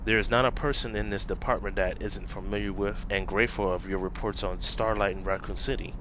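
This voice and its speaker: A man playing a curious, self-assured government agent in a scripted fan-dub drama, speaking in a measured, probing way. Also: on the low side, steady tone, noisy background, close to an everyday voice. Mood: admiring. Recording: loud music behind.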